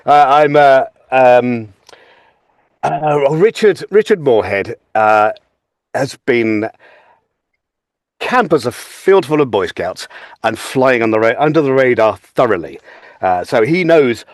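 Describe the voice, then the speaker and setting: A British man chatting casually as an actor guest on a panel, with theatrical, halting phrasing. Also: mid-range voice, expressive, dry recording, studio quality. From United Kingdom.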